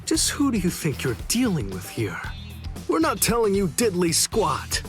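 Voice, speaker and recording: A man's voice: high voice, wildly swooping pitch, moderate pace, soft background music. An actor playing a laid-back, sly male swordsman leader in a game cutscene dub, drawling and mocking.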